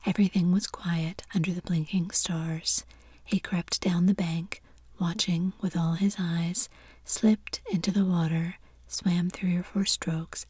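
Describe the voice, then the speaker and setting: A woman narrating a classic story in a steady, hushed whisper. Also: fairly low pitch, breathy edge, no echo.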